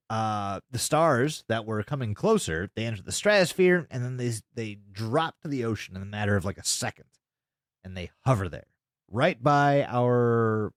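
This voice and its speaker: A man's voice: on the low side, expressive, normal speed, no room sound, clean studio sound. A man co-hosting a podcast, casually retelling a story in a rambling, sweary way. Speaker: podcast co-host.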